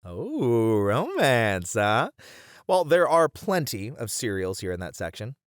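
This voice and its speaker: A man plays a friendly, bookish bunny man in an ASMR roleplay. His mid-pitched voice swings expressively as he chats helpfully, with a bashful streak. The sound is dry and close, with hardly any room. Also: wildly swooping pitch, takes it slowly, smooth, no echo. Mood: relaxed, confiding. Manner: chatty, helpful, easily flustered, breaking into chuckles and hesitations. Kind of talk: ASMR roleplay, one-sided conversation with the listener.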